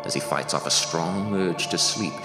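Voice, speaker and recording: A man giving slow, menacing, theatrical scripted narration for a horror show. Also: sits low, creaky. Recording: roomy reverb with music.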